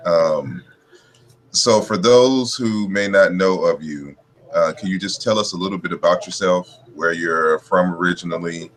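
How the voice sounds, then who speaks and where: A man's voice: low-pitched, a little slow. A man hosting an online interview, talking informally with many fillers. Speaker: host.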